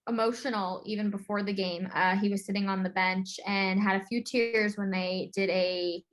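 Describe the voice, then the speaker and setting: A woman with a medium-pitched voice hosts a sports discussion. She speaks casually and informally, like a regular conversation, while guiding the recap from one point to the next. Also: fairly even intonation, moderate pace, poor audio. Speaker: sports podcast host steering the discussion.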